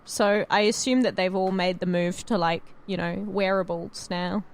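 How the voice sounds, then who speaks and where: A woman's voice: mid-range voice, fairly even intonation, moderate pace. A woman chatting casually on a podcast panel in a giggly, exclamatory, sweary way. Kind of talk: casual chat.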